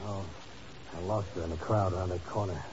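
An actor playing a cool, hard-boiled secret agent in a 1950s radio drama, clipped, wry and commanding. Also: male voice, low-pitched, fairly even intonation, brisk, natural voice. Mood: tense. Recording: noisy, muffled old radio recording.

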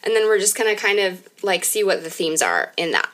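A woman's voice: mid-range voice, very animated, fairly quick, fairly bright tone. A woman in her thirties hosting a podcast, talking casually and candidly in an informal, conversational way. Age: 30s. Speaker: podcast host.

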